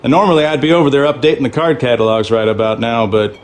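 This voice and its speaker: An actor playing a courteous, chatty small-town librarian in a video game, with a Southern US drawl. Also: male voice, medium pitch, level tone, fast talker, lightly acted. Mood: affable.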